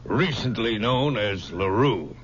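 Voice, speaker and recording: An actor playing an observant, grumbling big-city detective with a dry manner in an old radio drama. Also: male voice, on the low side, lively intonation, takes it slowly. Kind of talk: 1970s radio drama acting. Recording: dull old radio recording, background noise.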